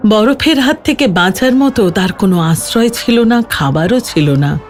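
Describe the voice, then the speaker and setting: A woman reading a scripted children's story as narrator, with an expressive storytelling delivery. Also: mid-range voice, normal speed, faint music behind.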